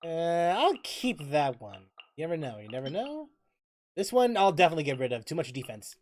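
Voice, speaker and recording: Animated game streamer with lively, swinging pitch. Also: brisk, slight background noise. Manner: thinking aloud, repeats phrases, breaks into loud exclamations. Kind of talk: casual livestream chat and gameplay commentary.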